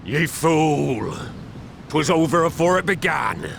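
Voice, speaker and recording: An actor playing a stern, scornful pirate captain with gruff, old-fashioned seafaring speech in a game cutscene. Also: male voice, a little high, lively intonation, takes it slowly, background noise, heavily acted. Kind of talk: video game cutscene acting. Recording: music playing underneath.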